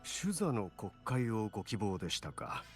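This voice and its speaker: A man's voice: fairly low pitch, big pitch swings, quick pace, faint music behind. An actor playing a polite, earnest, hesitant clergyman in a video game, speaking courteously. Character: polite, earnest, hesitant male church cleric.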